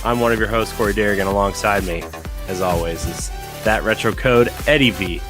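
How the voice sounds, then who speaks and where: A man hosting a casual podcast, slightly raspy from being sick, rambling with many fillers and restarts. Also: on the low side, fairly quick, fairly bright tone, soft background music. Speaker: podcast host. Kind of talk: casual chat.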